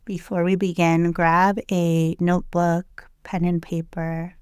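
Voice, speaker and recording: Very slow, low-voiced woman guiding meditation. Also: little pitch movement, clean tone, dry recording, clean studio sound. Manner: gentle, unhurried, long pauses between short phrases. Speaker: meditation guide. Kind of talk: guided meditation, slow and soothing. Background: loud meditation music with singing bowls.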